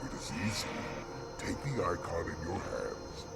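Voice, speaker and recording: Game villain: a brutal alien chieftain, gravelly and swinging in pitch, scornful, over loud music. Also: male voice, very animated, measured pace, full character voice. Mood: menacing. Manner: threatening, commanding. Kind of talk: dramatic video game cutscene acting.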